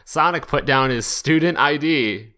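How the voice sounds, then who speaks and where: A man hosting a podcast, reading fan fiction aloud in a jokey, deliberate way with frequent asides. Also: fairly high pitch, bright, forceful tone. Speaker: podcast host.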